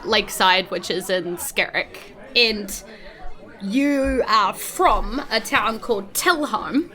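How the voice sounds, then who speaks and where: A woman's voice: mid-range voice, lively intonation, takes it slowly, pushed and bright. A woman with a New Zealand accent, casually narrating a tabletop role-playing game as game master.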